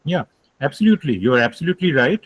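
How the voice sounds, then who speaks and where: A man's voice: on the high side, very animated, rapid-fire, husky, no room sound. A man giving an online lecture, explaining points emphatically, repeating key ideas and taking questions. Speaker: guest lecturer.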